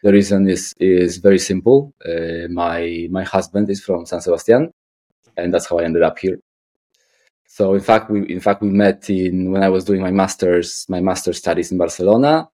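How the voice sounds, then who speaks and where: Polish guy late 30s, low voice, kinda hesitant, repeats words, chatting in an interview. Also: steady tone, normal speed, warm tone. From Poland. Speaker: guest, artist and architect. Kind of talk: casual interview answers.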